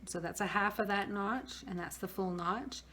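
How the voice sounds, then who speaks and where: A slightly hoarse woman casually demonstrating a paper-craft tool while she works at her table. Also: mid-range voice, normal speed, pushed and bright, slight background noise. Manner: chatty, talks while working, frequent restarts. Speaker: craft tutorial host. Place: craft room at a work table.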